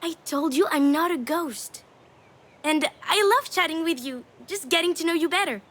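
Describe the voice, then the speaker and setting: A woman's voice: very high-pitched, moderate pace, a bit bright. An actress voicing a cheeky, affectionate young daughter in a video game, with a playful, girlish, teasing manner. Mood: playful, reassuring. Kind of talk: naturalistic video game drama acting.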